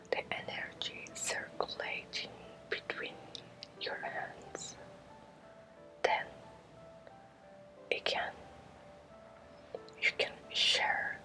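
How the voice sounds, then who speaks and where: Whispering Spanish-accented woman, very slow. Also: low-quality recording. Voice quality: breathy, unvoiced whisper. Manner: slow, halting short phrases with gentle repetition. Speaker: ASMR creator guiding a Reiki energy exercise. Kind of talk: whispered ASMR guided meditation.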